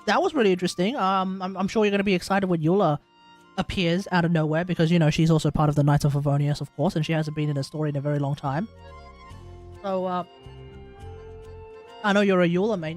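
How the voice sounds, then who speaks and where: A man's voice: high-pitched, steady tone, quick pace, faint music behind. A man with an Australian accent giving casual, opinionated, rambling live commentary as he plays a game. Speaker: game streamer.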